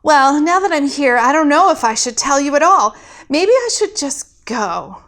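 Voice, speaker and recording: A woman's voice: high-pitched, sing-song, moderate pace, a bit bright, voice pushed up, exaggerated voice. A woman voicing a nervous, eager twelve-year-old angel in training for a story, hesitant and stammering.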